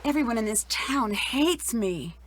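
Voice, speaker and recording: A woman's voice: a little high, animated, a little slow, crowd noise. An actress playing a plucky young female detective, talking conversationally in a video game. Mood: wry, downcast. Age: young adult.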